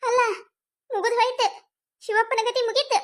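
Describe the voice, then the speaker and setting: A woman's voice: very high voice, fairly even intonation, moderate pace. A woman with a North Karnataka accent voicing chattering village women in a cartoon comedy. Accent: North Karnataka Kannada. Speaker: cartoon voice artist.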